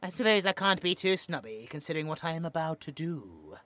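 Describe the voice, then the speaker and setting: A man's voice: fairly high pitch, sing-song, bright, forceful tone, dry recording. A British man playing a smug, cruel mad scientist in a horror audio roleplay, with a mocking, snobbish manner. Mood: sinister, gloating. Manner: mocking, snobbish, drawn-out musing.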